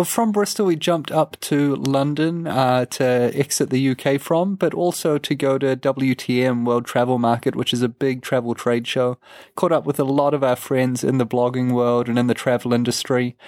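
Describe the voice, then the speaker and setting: A man co-hosting a travel podcast in a relaxed, rambling way, his voice croaky from a cold. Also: medium pitch, no room sound, studio quality. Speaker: podcast co-host. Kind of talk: casual travel chat.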